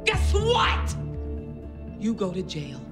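A biting defense attorney. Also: female voice, mid-range voice, wildly swooping pitch, slow pace, over music. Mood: taunting. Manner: taunting, biting. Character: fierce female defense attorney, sharp-tongued. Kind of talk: TV drama scene.

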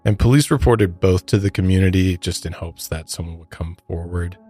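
Low-voiced American man telling true crime. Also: a little fast, warm tone, faint music behind. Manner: conversational, informal asides. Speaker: podcast host. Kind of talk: true crime storytelling with casual commentary.

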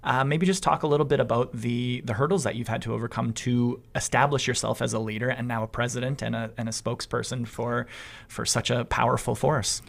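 A man's voice: medium pitch, steady tone, fairly quick, vocal fry. A man hosting an interview, asking long, rambling questions in a conversational, encouraging way. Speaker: host.

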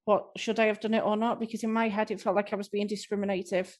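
A woman's voice: medium pitch, level tone, quick pace, darkish tone. A woman from near Liverpool with a light Scouse twang, a guest telling candid stories in a casual chat. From near Liverpool, North West England. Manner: candid, chatty storyteller. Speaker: improv performer, guest. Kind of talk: casual conversation.

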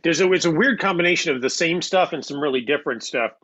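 A man's voice: on the high side, quick pace, a bit bright, no echo, muffled recording. A man giving conversational interview answers as a writer, explaining articulately and repeating phrases for emphasis.

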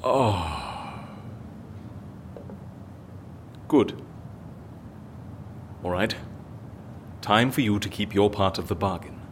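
A man's voice: lowish voice, slow pace, a little hiss or hum. A man playing a curious, eager and politely insistent visitor to Venice in a naturalistic audio drama.

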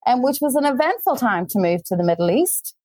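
A woman's voice: fairly high pitch, big pitch swings, a little fast, darkish tone, no room sound. A woman in her forties from Belfast with a Belfast accent, chatting at length as a podcast guest over a video call from home. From Northern Ireland. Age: 40s. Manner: chatty, talks at length with asides. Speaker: guest, tour company founder. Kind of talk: casual conversation.

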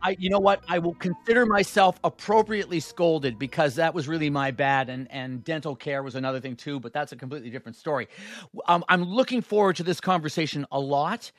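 A man's voice: on the high side, a little fast, dry recording, soft background music. A man hosting an interview, speaking in a friendly, conversational way. He is also an emergency physician. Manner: friendly, conversational, self-deprecating.

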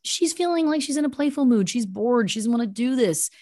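A woman's voice: on the high side, expressive, brisk, mellow, no echo, studio quality. A woman hosting a podcast, retelling a story as mock-serious true crime in an emphatic, conversational way with asides. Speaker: podcast host telling a story.

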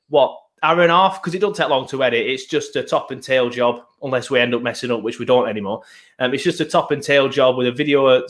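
A man's voice: medium pitch, a bit bright. A man with a British accent hosting a podcast in a casual, rambling, matey way. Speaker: podcast host. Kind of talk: casual chat.

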